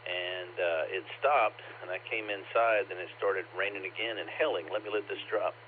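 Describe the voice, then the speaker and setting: Low, scratchy-voiced man over ham radio. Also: fairly even intonation, normal speed. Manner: rambling, chatty storytelling. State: scratchy, bassy voice from a cough. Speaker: ham radio net check-in. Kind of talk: casual on-air chat. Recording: lo-fi radio transmission, faint static.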